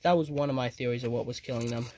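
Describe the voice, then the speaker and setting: A man's voice: mid-range voice, very fast, a little mellow, slight background noise. A young man casually narrating his own home video as a vlogger. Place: indoors in a room at home. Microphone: handheld phone behind the camera.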